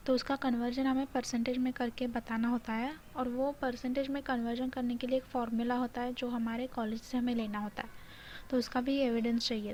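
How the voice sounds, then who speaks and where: Higher-voiced Indian woman, fairly even tone. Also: poor audio. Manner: mixes Hindi with English phrases. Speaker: video host explaining a job notification. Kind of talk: informal explainer, reading out and explaining details. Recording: clear background noise.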